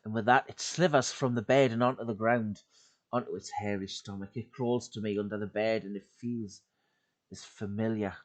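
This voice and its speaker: Man reading horror aloud, hushed then urgent. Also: steady tone, moderate pace. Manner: swings from loud urgency to hushed, breathy lows. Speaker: YouTube creepypasta reader. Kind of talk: dramatic horror story reading. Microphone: home desk mic.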